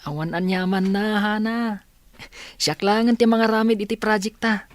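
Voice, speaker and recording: A man's voice: sits high, steady tone, moderate pace, no room sound, natural voice. A man acting an obliging, understanding young student in an audio drama. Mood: easygoing.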